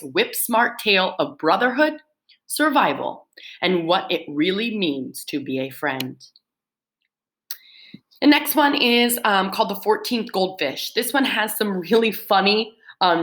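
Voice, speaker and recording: Woman teacher reading to students, animated and expressive, in a middle pitch. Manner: lively, expressive read-aloud with swooping pitch. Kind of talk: reading book summaries aloud to students.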